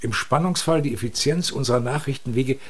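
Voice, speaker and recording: An actor playing a brusque, earnest secret police superior in a radio drama, speaking like an officious bureaucrat. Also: male voice, medium pitch, brisk, close to an everyday voice.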